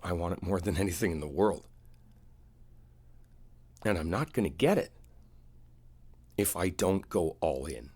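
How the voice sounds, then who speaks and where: A low-pitched, earnest boyfriend, acted by a man in an intimate audio roleplay. He sounds wounded and sincere, confiding in his partner with halting phrases, deep in register and set on making up. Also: animated, darkish tone, husky, full character voice. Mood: earnest, vulnerable.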